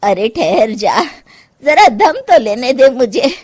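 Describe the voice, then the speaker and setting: A fed-up older mother-in-law, voiced by a woman for a cartoon story. She talks slowly, and her fairly high voice rises and falls expressively. Her manner is scolding and exasperated. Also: sing-song, a little mellow, full character voice. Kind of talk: Hindi cartoon story voice acting.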